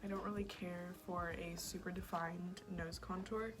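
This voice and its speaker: A young woman with a raspy voice, chatting casually through a makeup tutorial and often dropping to a whisper as she works. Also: on the low side, flat delivery, moderate pace, over loud music. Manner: chatty, slips into whispers while working. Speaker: beauty vlogger. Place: home, at a mirror.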